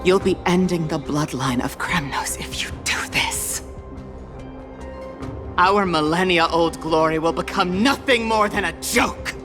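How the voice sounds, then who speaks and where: A woman's voice: fairly low pitch, measured pace, natural voice. An actress playing a proud, defiant warrior queen in a video game, with desperate, impassioned and formal delivery. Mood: fierce, indignant. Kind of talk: dramatic video game voice acting. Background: loud music.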